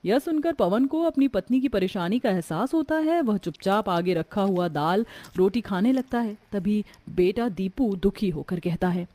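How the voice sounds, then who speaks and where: A woman narrating a scripted moral story in an expressive storytelling manner. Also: medium pitch, moderate pace, few pauses, dry recording.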